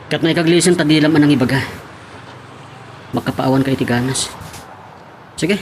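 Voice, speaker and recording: A man's voice: a little high, a little fast, a little hiss or hum, natural voice. A man playing an easygoing young husband in an Ilocano audio drama, with an Ilocano accent. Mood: casual. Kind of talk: Ilocano radio-style drama acting. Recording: music behind the voice.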